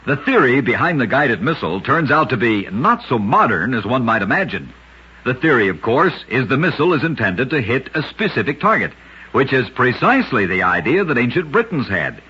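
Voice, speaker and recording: A man's voice: medium pitch, big pitch swings, fairly quick, sharp tone, a little hiss or hum. A man with an American accent reads a scripted vintage radio narration as host, with a dramatic storyteller delivery. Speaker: radio host and narrator of short true oddities. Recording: dull lo-fi vintage radio recording.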